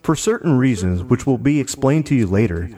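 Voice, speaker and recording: A man voicing a calm, friendly, towering alien woman with measured, formal delivery. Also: mid-range voice, lightly acted. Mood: solemn, explanatory. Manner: measured, formal story reading. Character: calm, friendly nine-foot alien woman, reassuring and wise.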